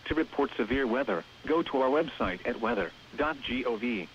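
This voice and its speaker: Male, text-to-speech weather announcer, medium pitch, impersonal clipped delivery, muffled radio sound. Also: lively intonation, a bit bright, a little hiss or hum. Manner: measured, impersonal, clipped reading. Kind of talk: scripted emergency weather warning announcement.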